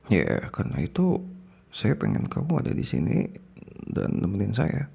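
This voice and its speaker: A man playing a possessive, smitten male boss in an intimate ASMR roleplay, speaking haltingly with an Indonesian accent in a breathy, gravelly voice that slips into whispers. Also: mid-range voice, wildly swooping pitch, warm tone, heavily acted. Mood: hesitant confession. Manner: halting, sighing, repeats words, slips into whispers.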